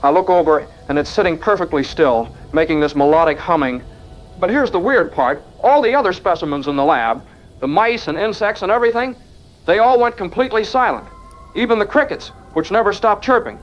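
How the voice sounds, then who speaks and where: A man's voice: high voice, expressive, brisk. A man playing an earnest young university lab researcher, speaking conversationally in an interview-style audio drama. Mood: uneasy, wondering. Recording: dull audio with background noise.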